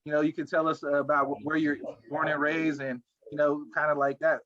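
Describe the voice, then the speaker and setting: Podcast host, man, quick and casual questioner, muffled lo-fi sound. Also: fairly high pitch, level tone, fairly bright tone. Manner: quick, loose questioning with fillers. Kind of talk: casual interview. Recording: dull lo-fi mic.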